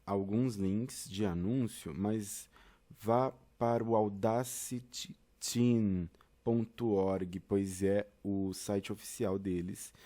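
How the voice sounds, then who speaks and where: Male tutorial presenter, Brazilian accent, soft-edged mellow timbre, casual and conversational step-by-step delivery. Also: on the low side. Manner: conversational, addresses listeners directly with frequent check-ins.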